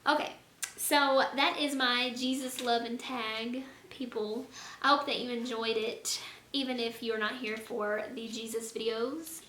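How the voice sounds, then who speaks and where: A young woman with a hoarse, fading voice, talking earnestly and rambling a little in a casual faith vlog. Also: on the high side, steady tone, a little slow, sharp tone. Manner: earnest, rambling, lots of fillers. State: hoarse, losing her voice. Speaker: vlogger. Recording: echoey room, faint noise.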